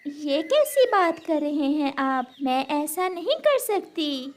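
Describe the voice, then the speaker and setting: A woman's voice: sits high, very animated, slow pace, full character voice. A woman voicing a worried, protective mother bird in a cartoon story. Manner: worried, questioning.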